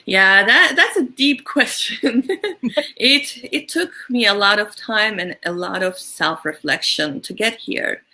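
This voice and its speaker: Turkish-accented woman in her 30s, slow and husky, bright tone, thinking out loud. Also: medium pitch, animated. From Turkey. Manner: thoughtful, with frequent restarts and fillers. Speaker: guest. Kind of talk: casual interview talk.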